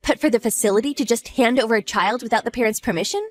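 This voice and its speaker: A woman's voice: on the high side, fast, faint music behind, natural voice. Woman playing an icy, sneering wife. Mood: mocking. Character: cold scheming wife, mocking and vengeful. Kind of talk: audio drama acting.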